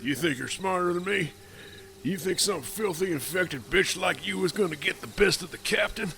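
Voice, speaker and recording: Woman doing a brutal male army captain, gravelly, snarling, faint hiss. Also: on the low side, moderate pace, fairly bright tone, faint music behind. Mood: taunting. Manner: snarling, threatening. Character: brutal foul-mouthed male military captain.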